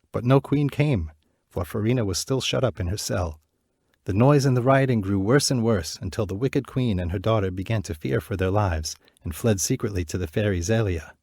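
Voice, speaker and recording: A man narrating a fairy tale in a measured, clear reading voice. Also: fairly low pitch, darkish tone, dry recording, studio quality. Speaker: storyteller.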